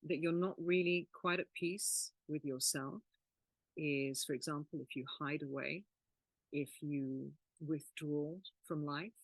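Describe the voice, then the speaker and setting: Calm woman explaining in a dry voice. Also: low voice, measured pace, no room sound, poor audio. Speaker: healing course teacher and co-host. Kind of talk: teaching conversation.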